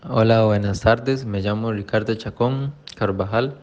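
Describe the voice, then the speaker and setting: A man's voice: lowish voice, steady tone, a little slow, a little mellow, dull audio, slight background noise. A man, giving formal answers as a student interviewee.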